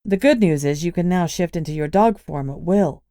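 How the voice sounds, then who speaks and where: A woman's voice: on the low side, moderate pace, warm tone, no room sound, studio quality, close to an everyday voice. Woman reading a tired spellcaster lady, blunt and firm, talks up a bit. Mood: frank. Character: weary, matter-of-fact woman spellcaster.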